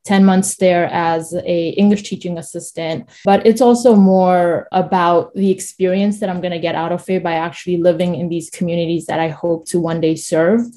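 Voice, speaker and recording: Upbeat young woman, mellow low voice. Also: fairly even intonation, normal speed, few pauses. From Nepal. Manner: upbeat, enthusiastic. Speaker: former student guest.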